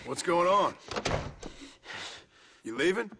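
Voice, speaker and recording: An actor playing a gruff, wry American gunslinger in a Western video game, terse and sardonic, with a gravelly voice. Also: male voice, high-pitched, lively intonation, takes it slowly, background noise. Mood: dry, wry. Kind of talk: dramatic video game voice acting.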